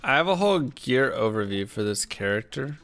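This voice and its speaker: A man with a deep voice, chatting casually and in a laid-back, rambling way as he streams a game from a desk microphone. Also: very animated, a little fast, a bit bright, soft background music. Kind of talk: casual chat while gaming.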